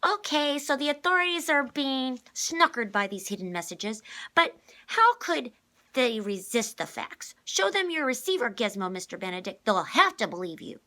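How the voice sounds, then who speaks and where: A sour, bossy little girl, put on by a woman reader in a children's story. Her voice is raised high and pushed hard, blunt and demanding. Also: very animated, normal speed, bright, forceful tone, heavily acted. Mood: exasperated. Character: sour, rude, bossy, exasperated young girl.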